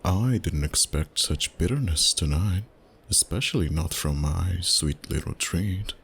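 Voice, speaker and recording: A man voicing a dominant, flirty, suave vampire boss in an intimate roleplay ASMR style, teasing and unhurried, with courtly phrasing and pet names. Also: low-pitched, expressive, gravelly, a bit bright, a little hiss or hum, heavily acted. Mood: teasing, coaxing.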